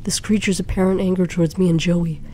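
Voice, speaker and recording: Mellow, even-toned woman narrating fiction. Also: lowish voice, quick pace. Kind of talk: dramatic first-person story narration. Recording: faint background noise.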